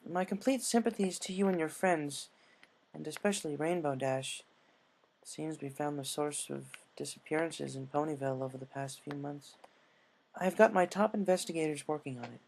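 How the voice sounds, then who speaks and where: A man voicing a gracious, sympathetic pony princess, formal and measured, in a fan story reading. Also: a little high, gravelly, a little mellow, a little hiss or hum, low-quality recording.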